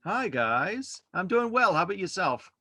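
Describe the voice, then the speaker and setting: Bright, higher-voiced man chatting casually. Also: very animated, moderate pace, dry recording, clean studio sound. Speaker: podcast host being interviewed as a guest. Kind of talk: casual interview chat. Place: indoors at home, on a video call.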